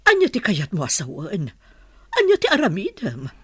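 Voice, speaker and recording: A woman's voice: medium pitch, wildly swooping pitch, normal speed, a bit bright, husky, no echo, studio quality, exaggerated voice. A woman playing an older mother who longs for a grandchild, insistent and plaintive, in a radio-style drama. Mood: pleading, insistent.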